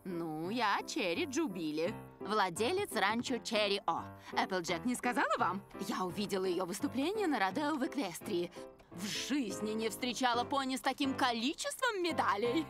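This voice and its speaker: A genteel mature lady pony who runs a cartoon ranch. Her highish voice swings theatrically as she chats graciously. Music runs behind. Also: very animated, moderate pace. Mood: gracious, cheerful. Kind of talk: animated children's cartoon dub.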